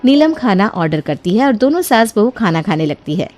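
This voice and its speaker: A woman narrating a scripted story in an expressive storytelling manner. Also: mid-range voice, normal speed. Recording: music behind the voice.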